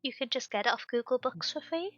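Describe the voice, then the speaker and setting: High bright woman on a muffled mic. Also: lively intonation, quick pace, dry recording, faint music behind. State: voice strained from past voice loss. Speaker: book club co-host. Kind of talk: casual chat.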